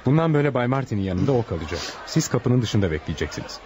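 An actor playing a calm, courteous, authoritative hospital doctor in a radio play. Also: male voice, mid-range voice, quick pace, lightly acted. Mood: calm, official. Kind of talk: Turkish radio theatre acting. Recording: noisy old radio-play recording.

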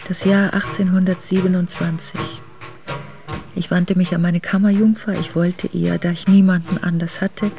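A woman's voice: lowish voice, creaky. An actress playing a noblewoman who soberly recalls a hard winter journey in a radio drama. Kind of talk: radio drama memoir narration.

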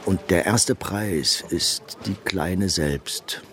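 An actor in a German radio drama playing a worldly Venetian painter and go-between, smooth, insinuating and coolly persuasive. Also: male voice, low-pitched, drawn-out words, a bit bright, soft background music, heavily acted. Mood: confiding, matter-of-fact.

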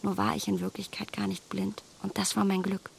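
An actress playing a proud, candid young gentlewoman in a radio drama, polite and articulate as she reproaches herself. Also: mid-range voice, monotone, fairly quick, creaky, slight background noise. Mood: earnest, confessional. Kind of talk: German radio drama acting of a classic novel.

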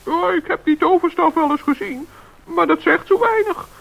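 An actor playing a kind-hearted little forest gnome in a radio play, with a very high, gravelly voice. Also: male voice, normal speed, a bit bright, exaggerated voice. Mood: earnest. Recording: dull lo-fi radio play recording.

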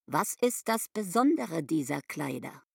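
A woman's voice: sits low, sing-song, a little slow, vocal fry, slightly rough, exaggerated voice. An actress playing a haughty, suspicious inn landlady in a literary radio drama, talking in an imperious, probing, mocking way. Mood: condescending.

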